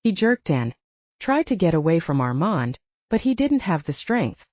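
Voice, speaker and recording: Female audiobook narrator, lowish and mellow, animated delivery of dramatic fiction, slightly muffled dry recording. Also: moderate pace, no room sound.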